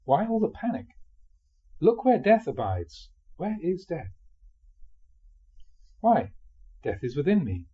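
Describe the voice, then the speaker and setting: A man reading a spiritual memoir aloud expressively, in a measured way with dramatic pauses. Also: fairly high pitch, sing-song, mellow, slightly rough, dull audio. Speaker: reader of Buddhist teachings.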